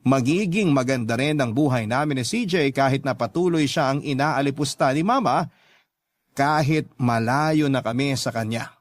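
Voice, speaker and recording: A man's voice: medium pitch, moderate pace. A man narrating a letter in the first person as a scripted, dramatic radio story.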